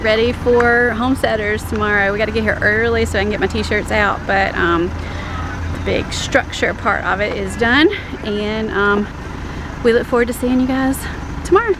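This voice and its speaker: A woman's voice: fairly high pitch, normal speed. A woman in her thirties chatting casually to camera for a family vlog, filmed on a phone at arm's length, with lots of fillers. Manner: chatty, easygoing, many fillers. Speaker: vlogger. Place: in a car, then outdoors at a fairground. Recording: loud road and outdoor noise.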